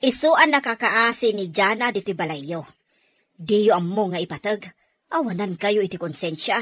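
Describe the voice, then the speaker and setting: A woman's voice: mid-range voice, expressive, moderate pace, fairly bright tone, husky, no room sound. A woman with an Ilocano Filipino accent playing an earnest, righteous younger sister who scolds in a radio drama. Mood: reproachful, earnest.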